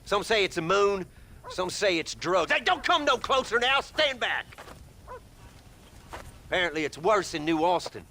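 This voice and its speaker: Strident, blustering hillbilly survivor. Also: male voice, sits high, sing-song, unhurried, pauses often, sharp tone, slight background noise, exaggerated voice. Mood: suspicious, nervous. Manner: suspicious, blustering. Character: jumpy, self-important hillbilly survivor, would-be king. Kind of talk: comic video game cutscene acting.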